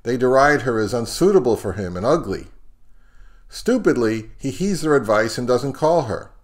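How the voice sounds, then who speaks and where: A middle-aged man hosting an audio review channel, reading scripted narration in a measured, explanatory way. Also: medium pitch, lively intonation.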